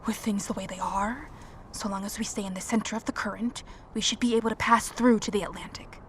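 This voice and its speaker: A woman's voice: mid-range voice, fairly even intonation, brisk, slight background noise, lightly acted. An actress playing a tough, resolute woman survivor in an audio drama, terse, firm and practical. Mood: exasperated, resolute. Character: tough, resolute combat-trained woman survivor leading companions. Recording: faint background ambience.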